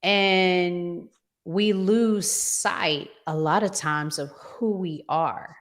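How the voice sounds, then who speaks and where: A woman's voice: fairly low pitch, steady tone, extremely slow. A woman hosting a talk show, giving conversational relationship advice emphatically and repeating phrases to drive them home.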